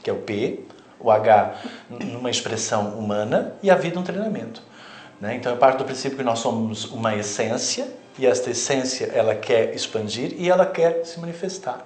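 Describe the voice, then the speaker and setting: A man's voice: medium pitch, expressive, brisk, darkish tone, roomy sound. Brazilian man explaining spiritual ideas. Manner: reflective, explanatory, frequent "né" tags. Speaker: therapist, guest. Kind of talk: spiritual interview talk.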